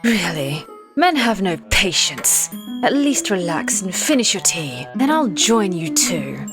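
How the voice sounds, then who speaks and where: A woman's voice: fairly low pitch, big pitch swings, a little slow. Woman doing a posh flirty aunt for anime dub, dramatic n haughty, really swoopy voice, music under it. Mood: exasperated. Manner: theatrical, gushing, haughty. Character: flamboyant aristocratic aunt, flirtatious and impatient. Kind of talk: scripted anime-style manga dub acting.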